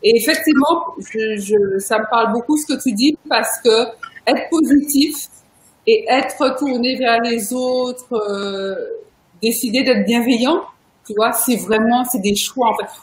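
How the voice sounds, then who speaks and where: Woman host, high voice, chatty, emphatic, echoey room. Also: unhurried, a little hiss or hum. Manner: chatty, emphatic, laughs often. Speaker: interview host. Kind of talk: casual video interview chat.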